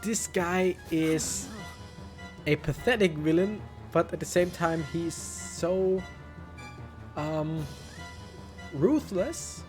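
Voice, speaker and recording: A man's voice: high voice, drawn-out words, pauses often. A man giving casual, rambling let's play commentary with wry asides. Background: game music.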